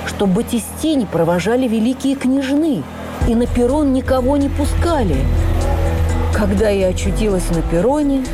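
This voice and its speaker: A woman reading a first-person memoir as a radio drama narrator, with expressive, measured storytelling. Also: fairly high pitch. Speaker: narrator, actress.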